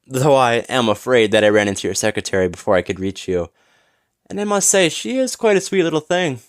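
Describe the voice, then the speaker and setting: A man playing a smug, flirtatious businessman in an audio roleplay, teasing and smooth-talking with mock politeness. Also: mid-range voice, big pitch swings, a little fast, dry recording, clean studio sound, lightly acted. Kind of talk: scripted audio roleplay acting.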